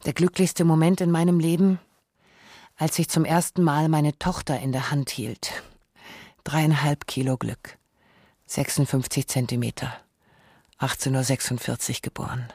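A man playing an anguished, self-reproaching father who narrates a radio essay in deliberate, reflective phrases. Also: on the high side, steady tone, a little mellow, no echo. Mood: melancholy. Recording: dry studio with some music.

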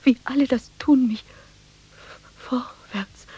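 An actress playing a dreaming, distressed woman in a German radio drama, halting and often breathy, half whispering. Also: high voice, dark tone, exaggerated voice. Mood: anguished, pleading. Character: dreaming, distressed woman pleading for an upright walk.

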